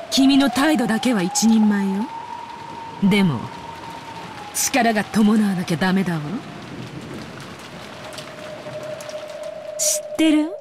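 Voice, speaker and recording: A woman's voice: mid-range voice, noisy background, lightly acted. An actress playing a haughty, sadistic demon woman in a Japanese visual novel, imperious and mocking in a theatrical way, with music behind her. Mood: smug lecturing. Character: haughty, sadistic female demon fighter, taunting and commanding.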